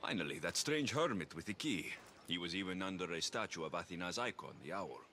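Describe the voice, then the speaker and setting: An actor playing a wry, confident young Greek mercenary hero in a video game, with a dry, teasing delivery. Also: male voice, low voice, lively intonation, a little fast, sharp tone, slight background noise, exaggerated voice. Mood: questioning, earnest. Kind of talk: video game cutscene dialogue.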